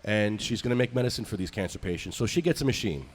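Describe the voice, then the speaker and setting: A man's voice: fairly low pitch, animated, fast talker. A man co-hosting a podcast, chatting casually and reading out ads. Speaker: podcast co-host. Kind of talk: casual podcast chat and live ad read.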